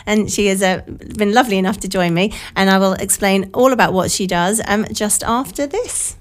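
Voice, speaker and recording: Radio host: British woman in middle age, informal and chatty, self-deprecating, voice croaky and bunged up with a cold. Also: medium pitch, normal speed. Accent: British English. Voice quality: croaky, slightly nasal from a blocked nose. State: has a cold. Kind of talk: casual chatty radio monologue. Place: radio studio.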